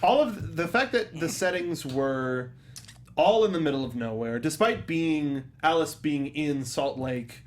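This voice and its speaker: A man's voice: on the high side, expressive, measured pace. A man hosting a casual movie review chat, explaining things in a jokey way. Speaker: talk show host.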